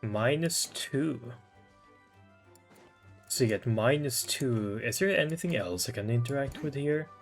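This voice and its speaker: Slow, musing game streamer. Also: mid-range voice, lively intonation, faint music behind. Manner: musing, muttering, informal. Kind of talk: casual playthrough commentary, thinking aloud.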